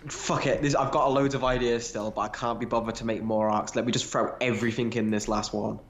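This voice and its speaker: A man's voice: medium pitch, little pitch movement, fast talker, a little hiss or hum. A man in casual analytical fan discussion as a podcast co-host, explaining as he thinks aloud, with some swearing. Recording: echoey, roomy sound.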